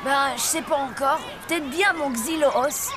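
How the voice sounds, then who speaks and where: A woman's voice: high-pitched, a little slow, music in the background, a crowd in the background. A woman voicing an earnest, inventive young zombie boy in a French cartoon dub. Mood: casual, playful.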